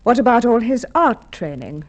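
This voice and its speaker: A woman's voice: fairly high pitch, very animated, moderate pace, dull audio. A woman playing an assertive, gushing old family friend with a secret in a radio crime drama, theatrical and insistent. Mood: eager, insistent.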